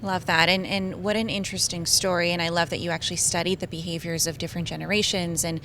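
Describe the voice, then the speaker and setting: Woman, hoarse, raspy, losing her voice, upbeat host, faint rumble behind. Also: level tone, quick pace, a bit bright. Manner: upbeat, chatty, uses many ums. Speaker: show host. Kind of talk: live trade-show interview. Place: trade show venue.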